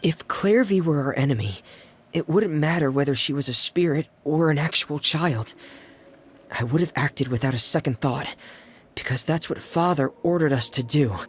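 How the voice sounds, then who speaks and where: A man voicing a quiet, earnest, loyal teenage younger brother in a video game, soft-spoken and hesitant, over music. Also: a little high, normal speed, a little hiss or hum, natural voice. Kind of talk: English video game voice acting. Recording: music behind the voice.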